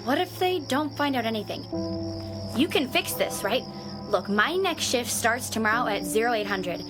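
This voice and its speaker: A woman's voice: fairly high pitch, expressive, moderate pace, over music, lightly acted. A young woman acting as a bold, headstrong alien trainee shuttle pilot in an audio drama, breezy and insistent. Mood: persuading.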